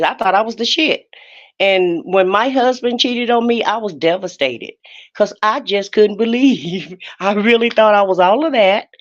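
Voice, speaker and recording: An American woman chatting casually and giving opinions in colloquial, emphatic speech, often repeating her phrases. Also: medium pitch. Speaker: panelist on a live stream. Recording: dull lo-fi stream audio.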